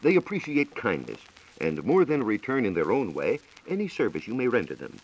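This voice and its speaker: A man's voice: medium pitch, wildly swooping pitch, a little fast, creaky, slightly rough, rough recording. A man with an American accent giving a formal, explanatory scripted science talk on 1940s radio. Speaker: science reporter.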